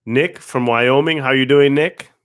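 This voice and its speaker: A man with a raspy voice from a cold, hosting a casual livestream, greeting viewers by name and restarting words as he talks. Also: mid-range voice, measured pace, no echo, clean studio sound. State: hoarse from a cold. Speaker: livestream host.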